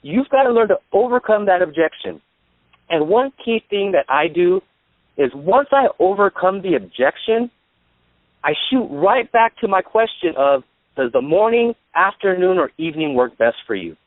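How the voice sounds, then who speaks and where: A man's voice: sits high, very animated, moderate pace, no room sound. A man giving instructional sales training as an agent, explaining his methods in a plain-spoken, step-by-step way. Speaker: insurance sales agent and trainer, guest speaker. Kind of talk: instructional sales talk on a call. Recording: dry, tinny phone line.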